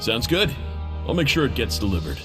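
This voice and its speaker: An actor playing a friendly, devoted guard in a video game, obliging and admiring, casual and expressive. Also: male voice, mid-range voice, fairly quick, natural voice. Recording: faint music under the voice.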